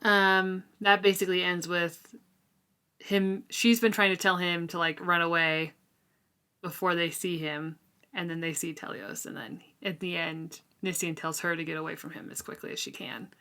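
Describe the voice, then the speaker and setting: Lowish-voiced woman in casual chat. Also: level tone, moderate pace, pushed and bright, clean tone. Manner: informal, conversational, frequent use of "like". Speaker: podcast co-host. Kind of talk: casual book discussion chat.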